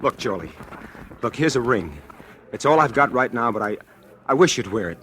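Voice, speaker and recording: A man's voice: mid-range voice, expressive, normal speed, fairly bright tone, slight background noise, lightly acted. An actor playing an anxious, lovestruck young American man in a 1940s radio suspense drama, earnest and prone to stammer. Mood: earnest, tender. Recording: old time radio show recording.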